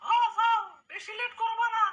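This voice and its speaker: A man voicing a shrill, pushy cartoon sidekick who fawns over a conman holy man. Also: flat delivery, a little fast, pushed and bright, dull audio.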